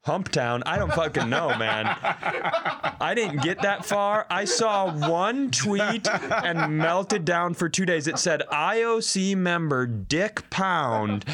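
A man's voice: high-pitched, sing-song, a little slow, a crowd in the background. A man co-hosting a comedy podcast, telling stories in a casual, incredulous, profane way with riffing asides. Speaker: stand-up comedian. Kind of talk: casual comedic storytelling and banter.

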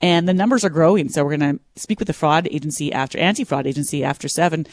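A man's voice: a little high, fast, no room sound. A man co-hosting a radio show, explaining things in an earnest way. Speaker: radio co-host. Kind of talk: casual radio chat. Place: radio studio.

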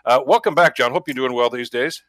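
A man's voice: medium pitch, brisk, fairly bright tone, no echo, clean studio sound. A man with a Canadian accent hosting a radio talk show, interviewing in a conversational, wordy and rambling way.

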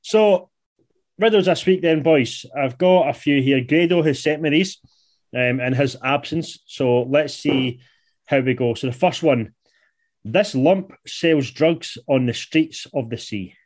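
A man's voice: a little high, unhurried, a little mellow. A man, hosting a casual podcast chat and reading out riddles, laid-back and sweary. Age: 20s. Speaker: podcast host.

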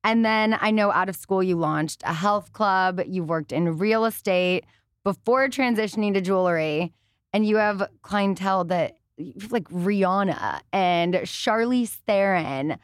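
A woman's voice: mid-range voice, measured pace, clean tone, dry recording. A woman hosting a studio interview, chatty and encouraging. She often answers with short affirmations. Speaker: podcast host. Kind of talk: casual interview chat.

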